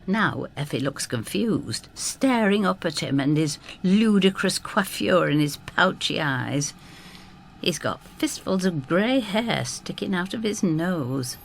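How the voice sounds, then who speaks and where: Casting: elderly amateur sleuth narrating, lowish voice, unhurried, animated, droll and protective. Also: female voice, vocal fry, slight background noise, exaggerated voice. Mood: wry, droll. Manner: wry, disapproving, droll. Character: blunt, protective, wry elderly woman narrator. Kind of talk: first-person dramatised storytelling.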